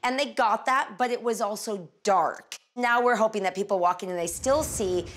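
A woman's voice: medium pitch, moderate pace, a little hiss or hum. A woman co-hosting a renovation show, talking enthusiastically about the features of a house. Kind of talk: casual on-site chat. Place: house interior.

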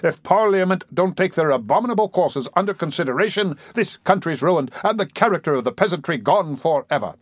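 A man's voice: fairly high pitch, animated, a little fast, few pauses, slightly rough, no room sound, studio quality, pitched above the performer's own voice. A man voicing a pompous, self-important parish beadle in an audiobook, scolding and declaiming with indignation. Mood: lofty indignation. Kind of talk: audiobook character voice.

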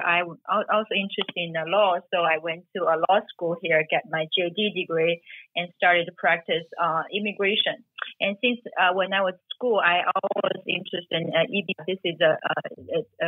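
A woman's voice: lowish voice, no room sound. A woman from China, a Chinese and Korean speaker, in her forties, explaining immigration law as a guest, with many hesitations. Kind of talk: interview answers. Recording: phone line.

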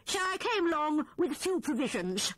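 A woman voicing a kindly male mole in a storybook, cheery and knowing, pitched higher than her narration. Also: very animated, normal speed, fairly bright tone, dry recording.

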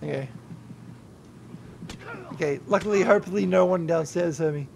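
Drunk, gravelly high-voiced young streamer. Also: male voice, moderate pace, a little hiss or hum. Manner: rambling and heavy swearing, yelling at the game. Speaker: YouTuber and streamer. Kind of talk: gameplay livestream commentary.

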